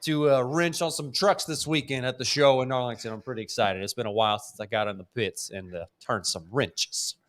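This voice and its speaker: A man's voice: medium pitch, steady tone, brisk, few pauses, a bit bright. A man co-hosting a casual monster truck talk show, blunt and laid-back, chuckling as he talks. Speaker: podcast co-host. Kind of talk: casual chat.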